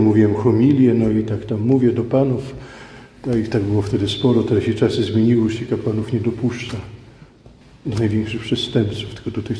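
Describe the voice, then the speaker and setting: A man's voice: lowish voice, dark tone. Middle-aged male preacher in echoing church. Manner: conversational, emphatic, repeats phrases for effect. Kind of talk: sermon with personal anecdotes. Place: large church indoors. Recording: echoey church hall with background noise.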